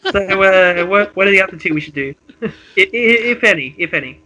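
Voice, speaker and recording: A man with a bright, forceful and fairly high voice. He talks casually as a podcaster and script reader, with a giggly, apologetic way about him. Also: lively intonation, brisk, rough recording. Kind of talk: casual chat and amateur script reading.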